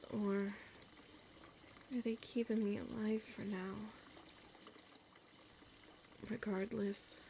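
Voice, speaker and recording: A woman voicing a young, anxious but stubborn mermaid in an ASMR audio roleplay, murmuring haltingly to herself. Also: medium pitch, dark tone. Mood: dazed, weak. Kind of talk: ASMR audio roleplay, breathy dramatic acting. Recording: clear background ambient noise.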